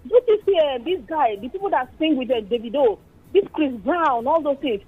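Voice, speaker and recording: A woman's voice: high voice, lively intonation, brisk, narrow, tinny audio. A woman with a Nigerian accent calling a radio show, chatting and telling stories with exclamations. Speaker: caller. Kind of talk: casual phone-in chat.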